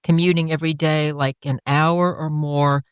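A woman's voice: deep voice, little pitch movement, moderate pace, smooth, no room sound, clean studio sound. Older woman recalling her life in interview. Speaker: interviewee, author. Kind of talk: reflective interview storytelling.

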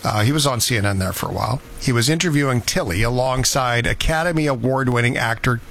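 A man's voice: medium pitch, fast, creaky, a bit bright, slight background noise. A man hosting a show, telling news stories in a casual, conversational way with incredulous rhetorical questions. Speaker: radio show host.

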